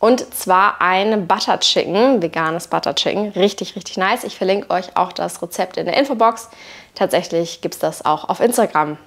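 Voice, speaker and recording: A woman's voice: lowish voice, normal speed. A woman, chatting casually and colloquially as she narrates a home vlog on a clip-on mic. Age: 20s. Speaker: vlogger. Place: indoor room at home. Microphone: clip-on wireless lapel mic.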